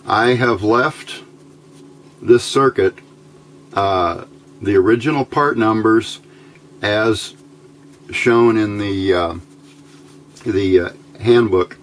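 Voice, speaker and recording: A man giving an informal hands-on electronics tutorial, thinking aloud in a halting, unscripted way at an indoor workbench. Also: lowish voice, low-quality recording. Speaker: electronics hobbyist demonstrating a homebuilt circuit. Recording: amateur recording with clear background noise.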